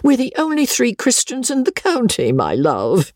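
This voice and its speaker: A reader voicing a shrill, imperious elderly spinster who is wealthy and witty, in a storybook reading. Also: huge pitch swings, normal speed, darkish tone, no room sound, studio quality. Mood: fond, teasing. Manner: commanding, teasing.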